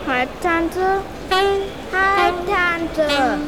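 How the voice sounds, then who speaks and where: Radio play: small feisty girl, high and unhurried, plain-spoken, busy noisy ambience behind. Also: exaggerated voice. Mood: searching. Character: feisty little girl lost on a journey. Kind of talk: German radio drama acting.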